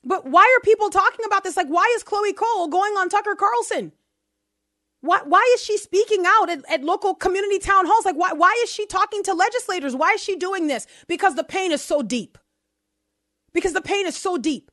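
A woman's voice: extremely high pitch, lively intonation, brisk, bright, forceful tone, no echo, studio quality. A woman from New Orleans with a local accent, co-hosting a casual commentary chat and piling up emphatic rhetorical questions. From New Orleans, USA. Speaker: podcast co-host.

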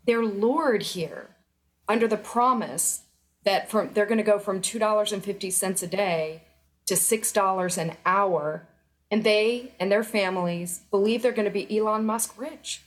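A woman's voice: medium pitch, unhurried. A middle-aged woman speaking as an interview guest, earnest and urgent, pressing her points with emphasis. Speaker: interview guest, former federal employee. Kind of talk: remote news interview.

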